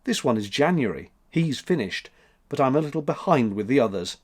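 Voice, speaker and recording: Guy doing an old father time for a kids story, measured n stern, matter of fact, lots of up and down. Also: medium pitch, lively intonation, close to an everyday voice. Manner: measured, matter-of-fact, severe when crossed. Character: old man Time, stern, kindly, self-assured.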